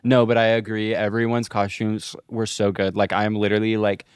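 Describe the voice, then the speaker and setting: A man's voice: on the low side, flat delivery, normal speed, clean tone, no echo, clean studio sound. A young adult man co-hosting a podcast, talking in casual comic banter with slangy, hyperbolic phrasing. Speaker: podcast co-host.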